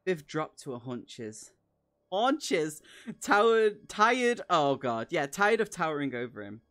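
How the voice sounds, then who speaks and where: A man's voice: fairly high pitch, big pitch swings, slow pace, a bit bright. A British man reading a novel aloud on a stream, playfully stumbling over words and breaking off into chatty asides.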